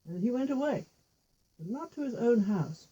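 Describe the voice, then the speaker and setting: A woman's voice: a little high, expressive, moderate pace, mellow, slightly rough, highs cut off. A woman telling a folk tale informally, with repetition and little spoken asides. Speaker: storyteller with puppet figures.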